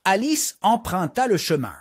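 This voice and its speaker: A man narrating a scripted storybook for young children in an expressive read-aloud manner. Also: sits high, normal speed, breathy edge, no echo, clean studio sound.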